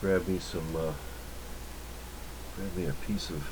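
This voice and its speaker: A man with a low voice talks casually through hands-on electronics work. He is unhurried and often mutters to himself as he goes. Faint noise sits behind him in an indoor workshop recording. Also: steady tone. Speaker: electronics repair hobbyist, channel host. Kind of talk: casual hands-on workbench demonstration.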